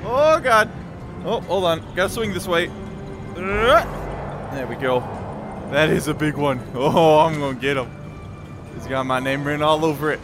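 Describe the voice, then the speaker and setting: A man giving excited live gameplay commentary in short, exclamatory bursts, talking to himself as he plays. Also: fairly high pitch, measured pace, long pauses. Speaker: gamer playing and commenting. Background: game music.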